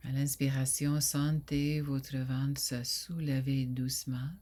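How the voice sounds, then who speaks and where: A woman guiding a sleep meditation in a soft, soothing voice that often drops to a breathy near whisper, with long pauses. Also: extremely low pitch, little pitch movement. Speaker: yoga nidra guide.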